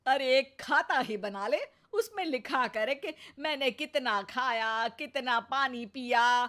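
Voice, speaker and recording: A greedy, stubborn old mother-in-law for a cartoon. She is bossy and teasing, pitched a little high and slow in delivery. The voice leans theatrical. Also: pushed and bright, full character voice. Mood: demanding. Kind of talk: cartoon voice acting.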